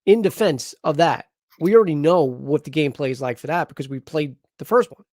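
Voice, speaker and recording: A man hosts a casual live chat, talking in an animated, rambling way full of restarts. His voice sits a bit high, and the sound is dry and close with no room echo. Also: brisk. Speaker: host.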